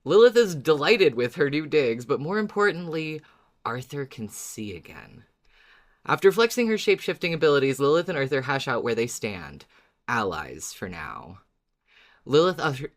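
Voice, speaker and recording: A man's voice: mid-range voice, a bit bright. Enthusiastic man co-hosting a podcast. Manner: enthusiastic, playful. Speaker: podcast co-host. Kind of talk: casual fan podcast chat and recap.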